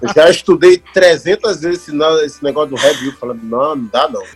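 A Brazilian man in his thirties telling stories in a casual chat, animated, laughing often and mimicking other voices. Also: on the high side, fast talker, gravelly, soft background music. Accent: Brazilian Portuguese. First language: Portuguese. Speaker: actor working as an extra.

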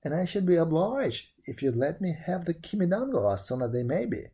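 A brave young storybook hero, voiced by a storyteller, confident and plain-spoken. The tone is cheerful and mellow, with lively movement in pitch. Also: fairly quick, breathy edge. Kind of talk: storybook character voice.

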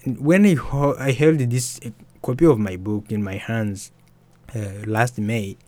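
A young man, an author and musician, telling his story softly and hesitantly in an interview. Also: medium pitch, steady tone, unhurried, no echo. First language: Swahili. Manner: soft-spoken, hesitant with restarts and fillers. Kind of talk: reflective personal storytelling.

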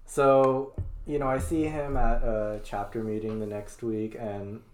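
A man's voice: mid-range voice, little pitch movement, unhurried, a little mellow. Chatty young man recounting college days. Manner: chatty, teasing, laughs often. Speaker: podcast co-host. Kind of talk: casual chat.

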